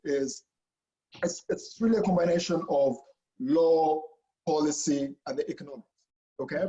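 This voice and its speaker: A man's voice: fairly high pitch, darkish tone, muffled recording. A man from Kenya, a professor talking casually in an online info session, with restarts and a habit of asking "okay?". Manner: chatty, frequent "okay?" and restarts.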